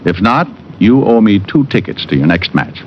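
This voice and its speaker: An American actor playing a wry, hard-boiled private detective in vintage radio drama style. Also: male voice, fairly low pitch, normal speed, a little hiss or hum. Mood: easygoing, skeptical. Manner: wry, deadpan wisecracks. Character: wisecracking hard-boiled male private detective, dry and cocky. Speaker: lead actor and narrator. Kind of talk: 1940s-50s radio detective acting, first-person narration. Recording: muffled vintage radio recording with music.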